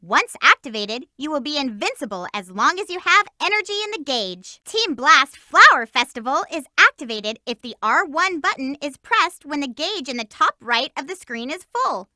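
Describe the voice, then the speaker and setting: A woman's voice: sits high, very animated, normal speed, few pauses, bright, forceful tone, no echo, heavily acted. A woman voicing a spirited, bossy teenage girl hedgehog in a video game, delivering hints in an upbeat, emphatic way, recorded close in a studio. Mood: instructive. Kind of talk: game voice lines and tutorial hints.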